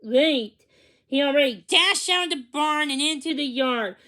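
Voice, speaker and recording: A woman's voice: high-pitched, expressive, measured pace, sharp tone. A woman reading a children's storybook aloud, sometimes stumbling over words and correcting herself. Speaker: read-along reader.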